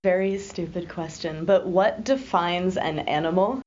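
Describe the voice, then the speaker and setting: A woman hosts a podcast interview, asking curious questions in a somewhat low voice. The sound is slightly dull, with faint noise behind her. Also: a little slow, a little mellow. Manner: curious, brief reactions.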